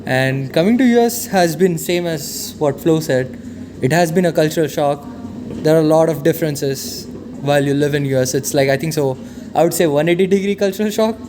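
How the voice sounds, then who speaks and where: A man's voice: a little high, brisk, background noise. Young man, casual and candid. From western India. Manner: informal, repeats "to be honest". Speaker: podcast co-host, student. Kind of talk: casual chat.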